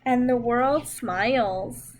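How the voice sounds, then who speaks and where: A woman reading a picture book aloud to young children, expressive and simple in her delivery, with dramatic pauses and emphasis. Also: fairly high pitch, a little hiss or hum, poor audio. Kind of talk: children's read-aloud storytelling.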